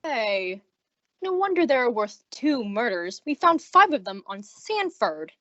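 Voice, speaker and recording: A no-nonsense police inspector reports his findings in plain, blunt terms, voiced by a woman. The voice sits somewhat high. The recording sounds muffled and lo-fi. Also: big pitch swings, slow pace, pushed and bright, full character voice.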